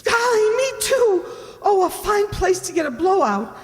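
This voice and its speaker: An actress playing a loyal, inquisitive, level-headed detective's assistant in a radio drama, expressive and full of questions. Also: female voice, very high voice, measured pace, full character voice. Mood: startled, anxious. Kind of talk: old-time radio detective drama acting. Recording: reverberant hall, noisy ambience.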